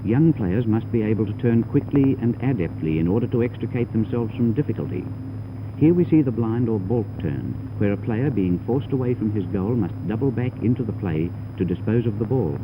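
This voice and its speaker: A man's voice: lowish voice, lively intonation, brisk, dull audio. A man giving formal, instructive scripted narration for a 1930s training film, on a noisy old soundtrack. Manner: formal, didactic.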